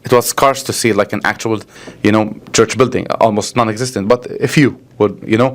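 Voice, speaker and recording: A man with a Lebanese accent talks very quickly in the manner of a priest being interviewed. He explains things earnestly and with emphasis, often checking that the listener follows. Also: fairly low pitch, steady tone. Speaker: Orthodox priest.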